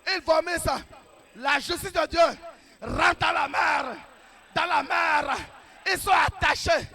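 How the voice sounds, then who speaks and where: A man preaching a fervent deliverance prayer, shouting declarations and repeating each phrase many times. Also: very high-pitched, fairly even intonation, slow, some chatter behind. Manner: repetitive declarations, fervent commanding tone.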